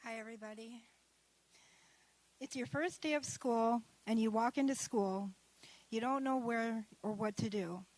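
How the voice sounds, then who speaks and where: A woman's voice: fairly high pitch, measured pace, long pauses, a little mellow, a little hiss or hum, rough recording. A woman who is an adult student, reading her own essay aloud at a graduation ceremony, carefully and with small restarts. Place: ceremony hall.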